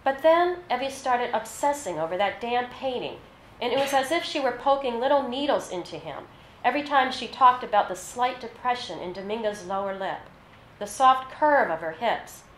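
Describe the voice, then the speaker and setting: A woman reading literary fiction aloud at a live event, with a measured, narrative delivery. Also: a little high, animated. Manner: measured, scripted narration with read-out dialogue. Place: live reading in an echoey venue. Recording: roomy echo, faint background noise.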